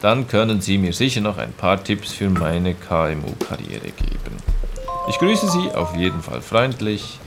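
A man's voice: low voice, big pitch swings, a little slow, creaky, over loud music, heavily acted. A man reading a formal letter aloud as a courteous, self-assured former tax office chief in a radio play. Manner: polite, formal.

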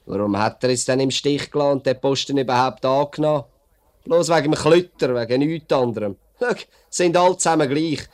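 An actor playing a sceptical, blunt, grumbling factory worker in a 1950s Swiss German dialect radio play. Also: male voice, medium pitch, lightly acted. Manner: blunt, griping.

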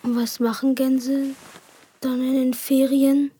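A woman's voice: high voice, level tone, slow, dark tone, slightly rough, exaggerated voice. A woman playing an affectionate young boy who tells his story in a childlike, earnest way in a radio play. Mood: curious. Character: young boy Peti, affectionate, devoted to his pet goose. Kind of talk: German radio play narration and dialogue. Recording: music behind the voice.

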